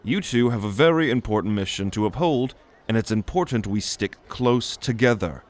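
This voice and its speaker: A man's voice: fairly low pitch, expressive, moderate pace. A man voicing a weary magic teacher in an audio drama, lecturing with a dry, wry manner. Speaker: narrator and teacher figure. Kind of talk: ASMR audio drama roleplay.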